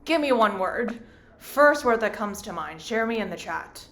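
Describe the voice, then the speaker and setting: A woman's voice: fairly high pitch, expressive, normal speed, vocal fry, a bit bright, a little hiss or hum. A woman from Hong Kong moderating a virtual panel, upbeat and chatty.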